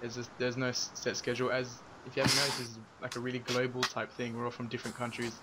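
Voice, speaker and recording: A man's voice: medium pitch, monotone, quick pace, heavy background noise. A man hosting a casual online podcast, laid-back and full of fillers, laughing now and then. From Australia. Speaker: podcast host. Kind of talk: casual group chat.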